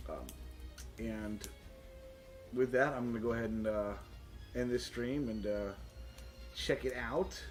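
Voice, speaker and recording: A man runs a tabletop game in casual stream chat, with music playing behind him. He sounds informal and jokey as he talks through rules and numbers in an ordinary mid-range voice. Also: mid-range voice. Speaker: game master and stream host.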